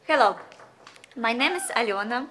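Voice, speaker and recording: A woman with a Ukrainian accent, a circus artist introducing herself haltingly. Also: fairly high pitch, expressive, background noise. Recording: loud music over the voice.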